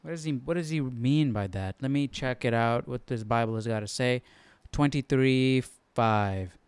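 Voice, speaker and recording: Casual man musing aloud, clear and dry. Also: mid-range voice, normal speed, a little mellow, dry recording. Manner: musing aloud, asking questions. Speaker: host of a Bible study stream. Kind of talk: casual unscripted study talk and reading aloud.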